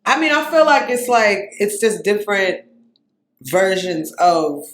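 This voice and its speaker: A woman's voice: mid-range voice, big pitch swings, a little slow. A woman born in Haiti, a podcast guest, talking in a loose, casual way full of slang and swearing. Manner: loose, profane slang with constant "you know what I'm saying". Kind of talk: casual interview chat. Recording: roomy echoey room.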